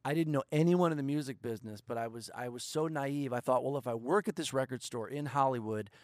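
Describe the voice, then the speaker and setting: A man's voice: medium pitch, fast, no room sound, clean studio sound. A middle-aged man from Detroit, a songwriter guest telling jokey stories about his start in music in a casual podcast chat. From Detroit, Michigan. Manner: playful, jokey storyteller.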